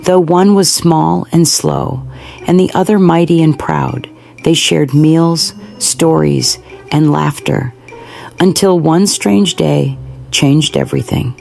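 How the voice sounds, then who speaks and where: A woman telling a bedtime folktale with a strongly gravelly, fry-heavy voice. She speaks slowly, with soft music in the background. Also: deep voice. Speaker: storyteller. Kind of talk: scripted folktale narration.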